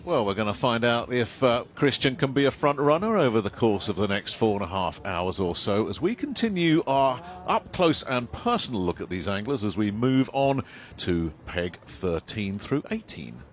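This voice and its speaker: A man presenting live sports commentary, jokey and lively. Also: medium pitch, normal speed.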